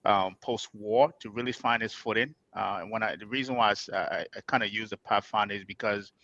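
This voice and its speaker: A man on a panel, pausing often as he searches for words. The sound is dry and close but dull, like a low-quality mic. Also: a bit bright, dry recording. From Liberia. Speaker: panel guest. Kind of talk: casual panel discussion.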